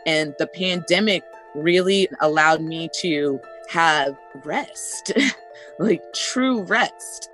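A woman in her thirties speaking as an interviewee, relaxed. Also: low voice, extremely slow, soft background music. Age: 30s.

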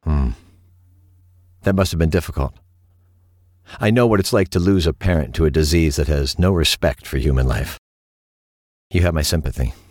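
An actor playing an earnest, courteous host of a late-night paranormal radio show, speaking in a measured, solemn and reassuring way. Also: male voice, a bass voice, a little mellow, no echo, clean studio sound. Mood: attentive, sympathetic. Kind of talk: late-night radio monologue and call-in hosting.

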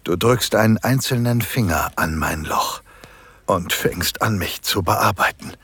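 An actor playing an eager, submissive married man in an intimate erotic audio drama, speaking in a husky, breathy, halting voice. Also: fairly low pitch, dry recording, full character voice. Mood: tense anticipation. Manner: slow, hushed, halting with moans. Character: submissive married man, construction foreman, eager, aroused, pleading.

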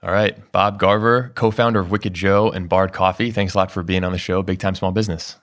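A man's voice: low-pitched, steady tone, fairly quick, fairly bright tone. A man hosting a podcast, asking loose, conversational questions. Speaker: podcast host. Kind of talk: casual interview.

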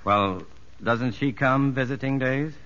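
An actor playing an earnest prison psychologist in a 1950s radio drama, measured and probing. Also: male voice, medium pitch, fairly bright tone. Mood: probing, commanding. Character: earnest male prison psychologist, narrating and talking with convicts. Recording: dull vintage radio recording, music underneath.

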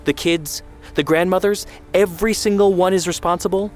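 A man's voice: on the low side, lightly acted. Man reading as a steely, challenging mother. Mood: challenging, heated. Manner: measured, firm, challenging. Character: steely, worried mother, controlled but biting.

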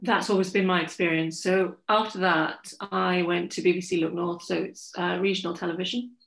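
A woman from England, a journalist telling her story in a forthright, lively interview. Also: fairly low pitch, normal speed, gravelly. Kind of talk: candid conversational storytelling. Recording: echoey room.

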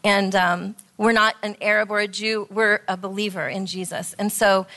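Earnest lady on a panel, medium pitch, explaining stuff in a conversational testimony style. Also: steady tone, normal speed, bright, forceful tone. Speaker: counseling program leader, panel guest.

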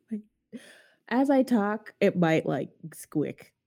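Woman podcast host, stuffed-up nasal voice, gravelly and dark, chatty and self-mocking. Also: medium pitch, big pitch swings, takes it slowly. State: congested from a sinus infection. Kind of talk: casual podcast chat.

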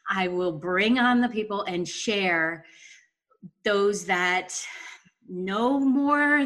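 A woman's voice: medium pitch, fairly bright tone. A woman podcast host speaking in an informal, chatty way as she introduces and interviews guests.

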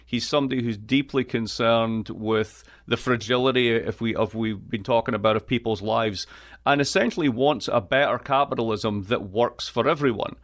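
Wry Scottish man, quick asides. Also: medium pitch. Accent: east coast Scottish. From Scotland. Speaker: guest, economist. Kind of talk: lively podcast interview.

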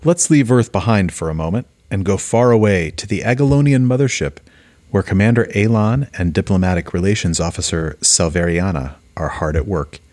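A male host narrates an audio play in a deep voice. He addresses the listener directly with mock gravity. The recording is very dry, with no room sound. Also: a little fast, a little mellow, dry recording.

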